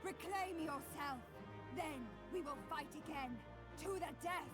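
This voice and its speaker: A battle-hungry female chieftain in a video game. She declaims fierce war cries in a high voice. Loud music plays behind her. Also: measured pace. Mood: exultant, fierce.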